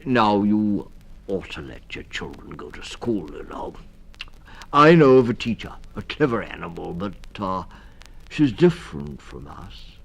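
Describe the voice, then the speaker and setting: A man's voice: on the low side, very animated, measured pace, creaky, a bit bright, slightly rough, pitched above the performer's own voice, heavily acted. A man voicing a kindly, hesitant toad in a storybook, repeating his words and rambling, on a 1960s story record. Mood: earnest, hesitant. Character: Mister Toad, kindly hesitant old male toad adviser. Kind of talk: storybook character reading.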